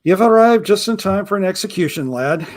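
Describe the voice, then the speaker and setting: A man voicing a gruff, wary old male pirate with a rumbling baritone and a salty pirate-style accent, calling everyone lad. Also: expressive, a little fast. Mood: grim. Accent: pirate-style rustic accent.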